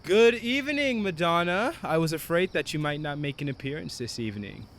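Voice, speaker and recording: An actor as a sardonic, washed-up man in a radio play. His delivery is florid and theatrical, swinging widely in pitch, with faint noise in the background. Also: lively intonation, fairly quick. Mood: mocking. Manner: theatrical, mocking. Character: ruined former liquor dealer, florid, sardonic man.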